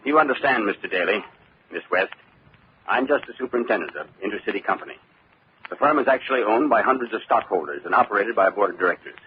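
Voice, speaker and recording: Male actor worried, earnest superintendent, low and quick, vintage radio sound. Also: expressive, lightly acted. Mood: explaining. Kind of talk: old-time radio drama acting. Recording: muffled old radio, faint noise.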